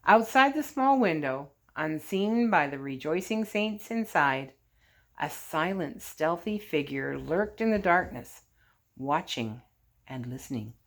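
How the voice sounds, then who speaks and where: Lower-voiced woman reading a story. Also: animated, takes it slowly, a bit bright. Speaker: storyteller reading a book aloud.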